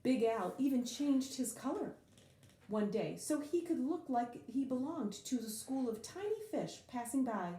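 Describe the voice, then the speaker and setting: A woman reading a children's picture book aloud in an expressive, dramatic way, leaning on key words with drawn-out emphasis. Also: high voice, roomy sound, slight background noise.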